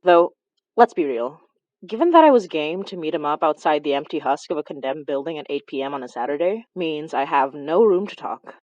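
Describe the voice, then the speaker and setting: A woman narrates a horror fiction podcast with chatty, sarcastic asides. Her voice rises and falls a great deal, though it sits on the lower side. The sound is dry and a bit muffled. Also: lively intonation, fairly quick, a little mellow, dry recording. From Canada. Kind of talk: scripted audio drama narration.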